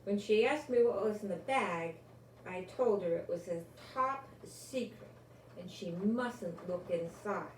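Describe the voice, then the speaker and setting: Older woman, grandmotherly storybook reader, unhurried and expressive, recorded in a roomy space. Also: mid-range voice. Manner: slow, deliberate, expressive storytelling. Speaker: grandmother reading a children's book aloud. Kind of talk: storybook read-aloud with asides. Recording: roomy, distant microphone, faint background noise.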